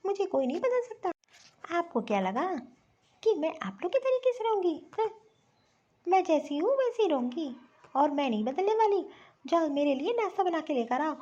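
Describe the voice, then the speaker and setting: Spoiled, scheming young bahu for family cartoon female actor; extremely high voice, whiny and bossy, dull recording. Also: dark tone. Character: spoiled, scheming young city daughter-in-law. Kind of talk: Hindi family-drama cartoon voice acting.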